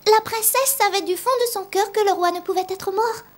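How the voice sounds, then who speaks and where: An actress voicing an earnest, imaginative young girl in a dubbed animated drama. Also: extremely high pitch, fairly quick. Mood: hushed storytelling. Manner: earnest, childlike. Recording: voice over clear background music.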